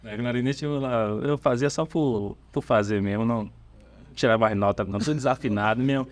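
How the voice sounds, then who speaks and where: A man from Salvador with a Bahian accent, a church band's bassist, chatting casually on a podcast in an easygoing, halting storytelling way with fillers and restarts. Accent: Bahian Portuguese. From Salvador, Brazil. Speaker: bassist of a church worship band, podcast guest.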